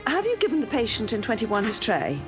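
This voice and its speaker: An actress playing a young hospital nurse who is brisk, playful and persistent with her patient. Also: a little high, wildly swooping pitch. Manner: brisk, teasing, coaxing. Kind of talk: radio drama acting. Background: music score.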